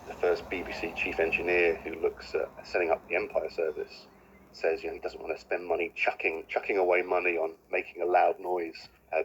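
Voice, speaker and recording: A man's voice: deep voice, a little hiss or hum, low-quality recording. A man giving an informal explanatory talk as an expert guest.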